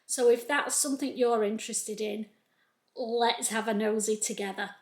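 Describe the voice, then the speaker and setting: A woman's voice: a little high, steady tone. A woman in her fifties with a North Lancashire accent, chatting casually to her webcam from her home sewing room as a sewing vlogger. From England. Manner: chatty, unhurried, homely. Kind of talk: casual chat to camera and screen walkthrough.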